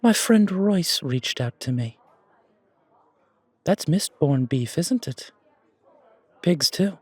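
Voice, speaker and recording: A man voicing a refined, gracious and quietly enigmatic confidant in an audio roleplay, with polished, deliberate, theatrical phrasing. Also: a little high, expressive, breathy edge, no echo, heavily acted. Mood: sly. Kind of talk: fan audio roleplay acting.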